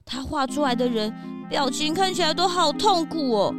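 A woman voicing an excitable, naive and curious young cartoon sidekick in a children's detective radio drama, with wide-eyed, exclamatory reactions. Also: high-pitched, moderate pace, music in the background. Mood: uneasy.

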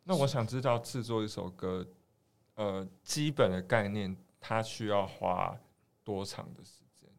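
A man hosts a casual podcast in a fairly low voice. He speaks conversationally, putting questions to his guest in everyday language. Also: unhurried, gravelly. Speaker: podcast host.

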